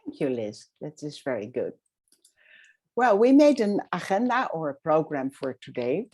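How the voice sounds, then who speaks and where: Low-voiced woman facilitating an online session. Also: very animated, darkish tone. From Netherlands. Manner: halting, many fillers. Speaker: workshop facilitator. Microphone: video call.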